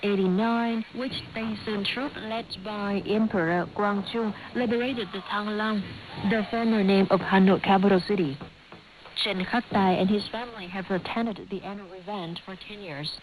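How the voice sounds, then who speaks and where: A woman's voice: medium pitch, steady tone, moderate pace. A woman with a Vietnamese accent presenting scripted radio news in English as a non-native speaker, a native speaker of Vietnamese. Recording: noisy hissing shortwave radio broadcast.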